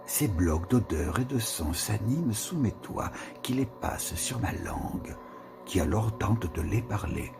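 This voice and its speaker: A man giving a slow, musing reading of a literary text, with pauses and whispered asides. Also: lowish voice, animated, creaky. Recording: reading with music behind. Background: music.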